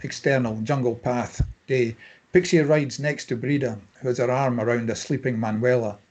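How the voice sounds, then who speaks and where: A man with a husky voice reading scene headings and stage directions as scripted narration at a table read. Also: mid-range voice, steady tone, moderate pace, darkish tone.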